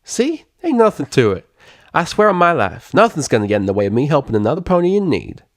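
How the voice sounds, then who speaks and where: A man's voice: a little high, very animated, fast, no room sound, studio quality, voice pushed up. A man voicing a friendly, motherly country pony mare with a folksy Southern US drawl in a story reading. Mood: helpful, assured. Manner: folksy, warm-hearted, fussing over others. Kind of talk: character voice in a fan fiction reading.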